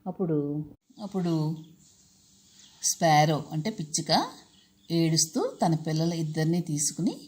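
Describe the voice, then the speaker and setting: A woman's voice: sits low, big pitch swings, unhurried, long pauses, darkish tone. A woman telling a children's story, repeating and explaining key words and putting questions to her young listeners.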